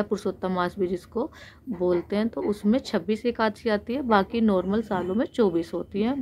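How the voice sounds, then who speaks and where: A woman's voice: medium pitch, darkish tone. Indian woman giving devotional teaching, noisy recording. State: sore throat with frequent throat clearing. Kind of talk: religious discourse, explanatory teaching.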